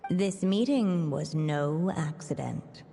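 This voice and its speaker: A woman playing a solemn storybook narrator in a video game, telling a fairy tale in measured, lyrical phrases with long reverb. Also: low-pitched, animated, mellow, faint music behind, full character voice. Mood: solemn storytelling.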